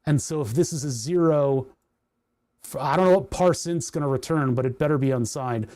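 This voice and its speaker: A man hosting a casual coding livestream, rambling and chatty with frequent laughs, his voice starting to go hoarse. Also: fairly high pitch, a little fast, mellow. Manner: rambling, chatty, laughs often, reads chat aloud. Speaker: livestream host.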